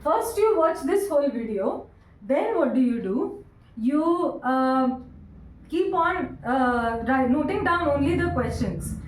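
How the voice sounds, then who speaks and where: A woman teaching English grammar, repeating each sentence slowly word by word and asking her students questions. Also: sits high, lively intonation, slight background noise. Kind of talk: classroom grammar lesson. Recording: echoey room, voice from a distance.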